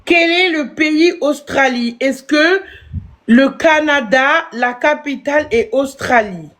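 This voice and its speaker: A woman's voice: high-pitched, takes it slowly, fairly bright tone. Woman streamer arguing playfully on a live. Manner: teasing, argumentative, repeats herself. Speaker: livestream host. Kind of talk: casual live stream chat.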